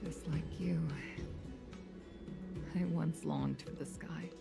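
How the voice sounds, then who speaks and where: A woman's voice: low-pitched, fairly even intonation, slow, vocal fry, loud music behind the voice, exaggerated voice. An actress voicing a stern, dignified, weary mother in a video game, speaking formally and with measure. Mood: sorrowful.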